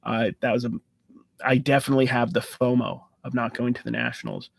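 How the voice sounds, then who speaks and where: A man's voice: mid-range voice, little pitch movement, fairly quick, vocal fry, no echo. A man hosting a casual live-stream Q&A, talking in a loose, rambling way with restarts and fillers. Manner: enthusiastic about card hunting. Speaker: sports card channel host.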